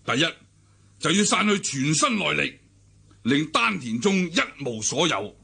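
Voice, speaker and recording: An actor with a Hong Kong accent playing a domineering, cunning older cult leader in a radio drama, theatrical and raspy. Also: male voice, on the high side, very animated, a little slow, sharp tone, full character voice. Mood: explaining. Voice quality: raspy, gravelly. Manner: theatrical, commanding. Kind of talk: Cantonese radio drama acting.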